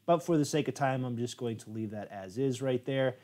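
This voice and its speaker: A man's voice: medium pitch, a little fast, warm tone. An American man hosting a live photo editing tutorial stream, talking casually and chatty with plenty of fillers while he works. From United States. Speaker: software tutorial host.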